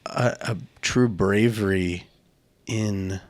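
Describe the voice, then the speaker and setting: Earnest guy on a podcast, lowish voice, restarts his sentences a lot, dry close sound. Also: slow pace, dry recording. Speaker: podcast host. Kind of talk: casual chat.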